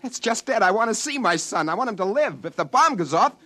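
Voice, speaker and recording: A man's voice: high voice, very animated, brisk, sharp tone, full character voice. A man acting in a 1950s radio drama as a desperate, insistent test pilot warning of doomsday. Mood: desperate pleading. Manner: urgent, insistent, pleading.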